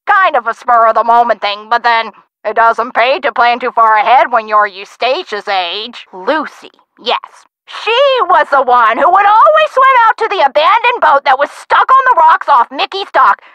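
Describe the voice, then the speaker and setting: A feisty, scatterbrained old woman from a video game, chatty and full of folksy exclamations. Her voice is bright and highish, swinging up and down in animated swoops as she loses and finds her thread. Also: dry recording, poor audio. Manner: chatty, forgetful, folksy exclamations, drawn-out words. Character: feisty, scatterbrained, card-playing elderly woman with old-time slang. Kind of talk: video game character acting.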